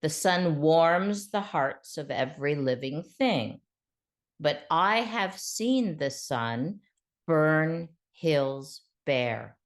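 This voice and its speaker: Grave, slow male jackal voiced by a woman. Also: low voice, expressive, exaggerated voice. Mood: grave, warning.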